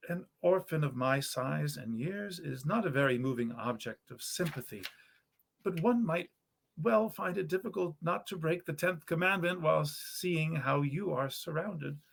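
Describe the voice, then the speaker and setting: A man voicing a shy, sad young male student in a storybook, speaking hesitantly and formally in a low tone. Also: animated, unhurried, gravelly, husky, exaggerated voice. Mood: forced lightness.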